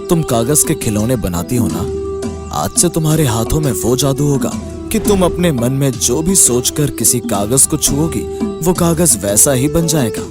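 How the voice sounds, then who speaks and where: A man voicing a benevolent shape-shifting snake spirit in youthful human form, with solemn, measured pronouncements. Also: medium pitch, animated, natural voice. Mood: bestowing. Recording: clear background music under voice.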